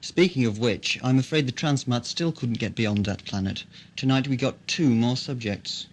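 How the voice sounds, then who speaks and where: A man's voice: medium pitch, normal speed, close to an everyday voice. A man playing a snide villain's henchman. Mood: deferential. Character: servile, sardonic villain's henchman. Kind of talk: amateur audio drama acting.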